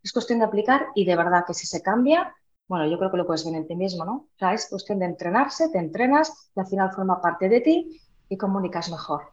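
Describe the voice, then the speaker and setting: A woman's voice: medium pitch, expressive, a little fast. A woman from Huelva, giving emphatic, conversational advice as an interview guest who trains voices. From Huelva, Andalusia, Spain. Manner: emphatic, didactic, checks in with listeners. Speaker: guest, voice trainer and speech expert. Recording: dull, roomy, echoey sound.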